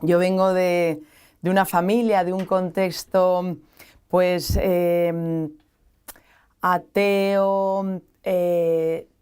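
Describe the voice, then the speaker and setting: A woman speaks with a strong Basque Spanish accent in a smooth, resonant voice. She is a studio interview guest who builds long, thoughtful arguments point by point. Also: on the low side, flat delivery, drawn-out words, darkish tone. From San Sebastián, Spain. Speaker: guest, association founder.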